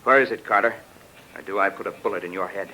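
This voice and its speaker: An actor playing a cold, gloating murderer with a menacing, tough-talking manner, in 1940s radio crime drama style. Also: male voice, sits low, fast, pushed and bright, slight background noise, low-quality recording. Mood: gloating threat. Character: cold, gloating murderer holding the detective at gunpoint. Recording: old radio broadcast recording.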